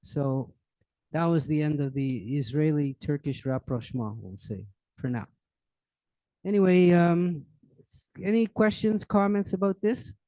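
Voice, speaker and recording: An older man speaks in a loose, rambling lecture manner, full of fillers and restarts. His voice sits a little high and sounds mellow. The sound is dry and close. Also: animated, measured pace, no room sound, poor audio. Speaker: lecturer on current events. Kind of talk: informal public library talk. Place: library meeting room.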